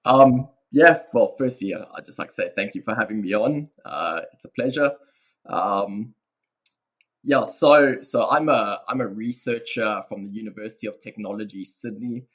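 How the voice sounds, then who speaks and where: South African male researcher, young, husky low voice, measured interview answers. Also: a bit bright. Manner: thoughtful, hedging with many fillers. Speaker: guest researcher. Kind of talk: podcast interview.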